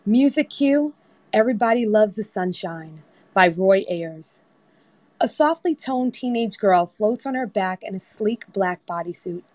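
A woman narrates a screenplay, reading out scene directions. Her voice sits at a middle pitch, and the sound is very dry over a voice-chat app. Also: expressive, a little slow, darkish tone, no room sound. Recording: online group voice-chat app.

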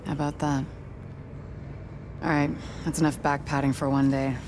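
An actress playing a tough, determined young American agent commander in a video game, blunt and no-nonsense. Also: female voice, low-pitched, level tone, moderate pace, natural voice. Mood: brisk, confident. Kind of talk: video game cutscene acting. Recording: clear background ambience noise.

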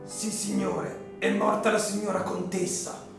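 A man playing a humble peasant gatekeeper in a radio drama, deferential, slow and plain-spoken. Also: high-pitched, flat delivery, vocal fry, heavily acted. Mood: humble, grave. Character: humble peasant gatekeeper, bearer of grim news. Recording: very echoey room, music underneath.

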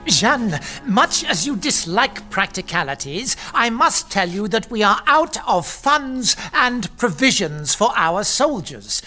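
A man acting in an audio drama as a stern older archbishop, measured, formal and patronising. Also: sits high, big pitch swings, bright, forceful tone, faint music behind, full character voice. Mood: grave, practical.

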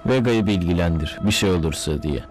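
A man's voice: sits low, lively intonation, fairly quick. A man playing a serious, confident magician hero in a dubbed cartoon, composed and commanding. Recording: loud music behind.

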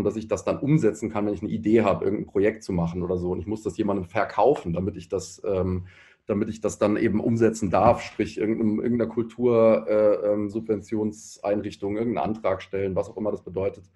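Low, mellow man thinking aloud. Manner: thoughtful, halting, many fillers. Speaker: panel guest. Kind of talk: discussion answer.

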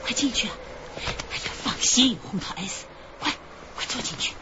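A woman's voice: fairly high pitch, animated, normal speed, lightly acted. An actress playing a scheming older woman who poses as a kid's great-aunt, fawning and chuckling as she coaxes and bargains in a radio drama. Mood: wheedling. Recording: slightly dull broadcast audio with faint hiss.

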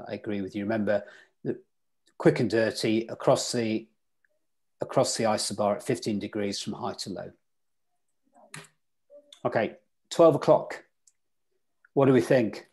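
A man's voice: on the low side, darkish tone, slightly rough. A man teaching sailing weather in an online club lecture over a video call, quizzing his listeners as he goes. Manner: interactive, quizzes the audience.

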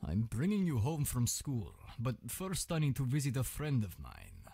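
A stern, grouchy middle-aged man from a visual novel game. His gravelly, husky voice swings widely in pitch, and the sound is dry and close. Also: huge pitch swings, moderate pace, warm tone, no room sound, exaggerated voice.

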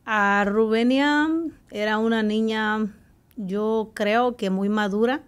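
A woman's voice: mid-range voice, takes it slowly, smooth. Salvadoran woman sharing her life story. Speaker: interview guest. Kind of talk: recounting personal life in conversation. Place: small radio studio. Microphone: close desk microphone with headphones.

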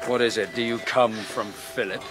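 A man's voice: medium pitch, steady tone, moderate pace, music in the background, natural voice. An actor playing a bold, wry warrior prince who commands his soldiers in a historical audio drama. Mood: hearty. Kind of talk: historical radio drama acting.

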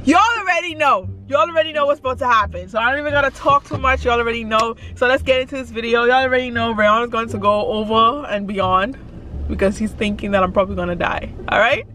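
A woman's voice: on the high side, fast talker, pushed and bright, slight background noise. A young woman chatting casually to a dashboard camera inside a car, upbeat and repeating her catchphrases. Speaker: vlogger pranking her fiancé.

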